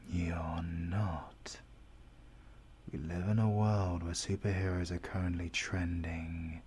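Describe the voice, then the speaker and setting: A British man acting a menacing, coldly courteous villain interrogator in an audio roleplay, speaking formally and deliberately, at times dropping into a whisper. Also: low voice, a little mellow, slight background noise. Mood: menacing, businesslike.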